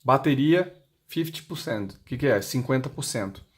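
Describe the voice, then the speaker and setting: Casting: Brazilian Portuguese man, average pitch, plain hands-on tutorial delivery, noticeably noisy recording. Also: expressive, moderate pace. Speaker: intercom seller giving a product demonstration. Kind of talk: unscripted explanatory tutorial, demonstrating step by step. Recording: clearly noisy recording with clicks.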